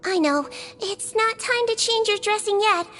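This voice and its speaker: Very high-voiced gentle little nurse. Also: female voice, a little slow, full character voice. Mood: calm, earnest. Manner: polite, methodical explaining. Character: small, gentle, earnest young female head nurse. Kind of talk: anime game voice acting. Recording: music behind the voice.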